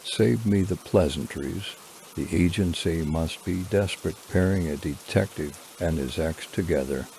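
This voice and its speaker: A man acts a sardonic, gruff detective in a horror drama. His voice is extremely deep, dark and full of gravel, with rain noise behind it. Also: a little slow. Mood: sardonic, grumpy.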